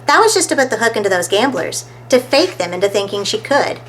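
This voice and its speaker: A woman's voice: on the high side, very animated, fairly quick, fairly bright tone, a little hiss or hum. A woman reading the part of a confident, clever girl detective who explains clues in a children's storybook. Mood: confident, impatient.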